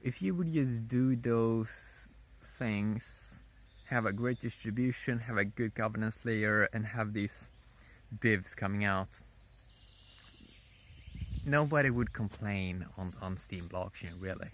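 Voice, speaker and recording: A man giving a casual, unscripted opinion monologue in a rambling, halting way, often repeating his phrases. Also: on the low side, fairly bright tone. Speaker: vlogger.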